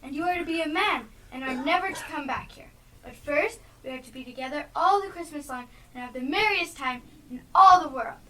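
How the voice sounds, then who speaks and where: A woman's voice: sits high, a little fast, pushed and bright. A woman on stage playing a loving, eager little girl, the younger sister in a play. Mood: joyful, tender. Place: theatre stage. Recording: live stage, distant mic.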